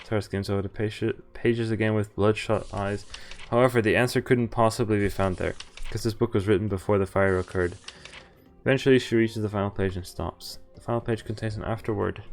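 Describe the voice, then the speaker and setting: A man's voice: on the low side, steady tone, fairly quick, faint music behind. A man streaming a visual novel, reading its text aloud and breaking off to swear and react. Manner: reads narration evenly, breaks off to swear and react.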